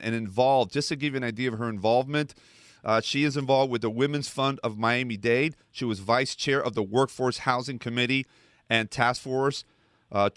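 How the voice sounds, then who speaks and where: Male host, smooth resonant voice, dry close sound, hesitant phrasing. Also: mid-range voice, a bit bright, no echo. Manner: halting, many uhs and restarts. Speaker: radio show host. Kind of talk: interview hosting.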